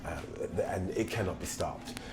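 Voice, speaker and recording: A man's voice: low-pitched, normal speed. A man talking in an emphatic, declarative way as a writer and actor presenting a film project. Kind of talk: promotional talk to camera. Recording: music under the voice. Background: loud background music.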